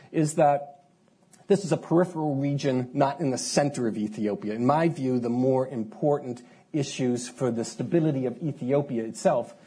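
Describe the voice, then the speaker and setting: Husky guy on a panel, mellow tone, lots of uhs. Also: mid-range voice. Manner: halting, many "uh" fillers. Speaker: panel speaker. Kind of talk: academic panel talk.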